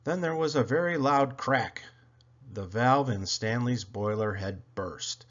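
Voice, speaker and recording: A man reading a children's story aloud in an expressive, dramatic, deliberate way. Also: mid-range voice, lo-fi sound. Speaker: storybook reader.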